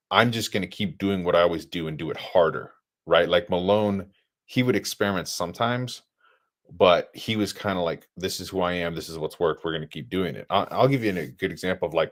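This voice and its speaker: Deep-voiced man talking basketball. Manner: conversational, builds points step by step with "right?". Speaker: podcast co-host. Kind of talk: casual sports chat.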